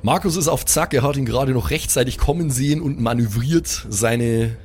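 A man's voice: medium pitch, normal speed, noisy background. A man improvising vivid, mock-dramatic narration as the game master of a tabletop role-playing game.

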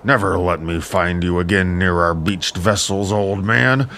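Man acting a deep, menacing warrior king. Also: fairly even intonation, unhurried, sharp tone, a little hiss or hum, full character voice. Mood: wrathful, menacing. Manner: commanding, threatening, declamatory. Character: proud, wrathful warrior king, harsh and menacing. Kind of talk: epic audio drama acting.

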